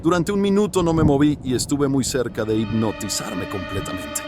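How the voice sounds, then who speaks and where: A man voicing an anguished, horrified man in a horror audiobook, confessing a killing in a hoarse, intense, dramatic way. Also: mid-range voice, moderate pace, music in the background, lightly acted. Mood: tense, grim.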